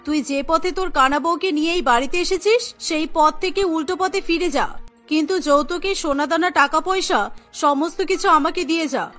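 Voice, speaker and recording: A woman's voice: sits high, a bit bright. A woman voicing a harsh, greedy middle-aged mother-in-law in a cartoon, scolding and disdainful. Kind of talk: Bengali cartoon melodrama voice acting.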